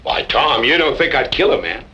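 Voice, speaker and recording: A man's voice: mid-range voice, very animated, normal speed, bright, forceful tone. An actor playing a mocking cow thief and gunman in an old western radio drama, full of feigned innocence. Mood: sly, mocking. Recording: muffled, noisy old radio recording.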